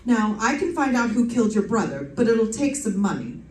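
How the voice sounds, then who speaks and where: A woman's voice: fairly high pitch, sing-song, a little fast. Woman playing brash lady detective, roomy stage sound. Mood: cool, businesslike. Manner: wry, breezy, sardonic. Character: wisecracking, brash young woman private detective. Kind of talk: old-time radio detective drama acting. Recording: roomy distant stage recording with music.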